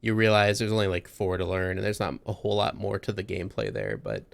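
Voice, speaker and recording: A man's voice: deep voice, lively intonation, fast, gravelly, no room sound. A man hosting a casual podcast chat, talking enthusiastically and rambling a little with restarts. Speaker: podcast host.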